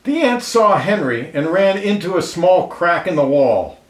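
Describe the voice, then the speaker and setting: A man reading a children's picture book aloud expressively, pausing to comment and ask listeners questions, in an echoey room. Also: high-pitched, sing-song. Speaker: storybook reader. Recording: roomy, echoey indoor sound.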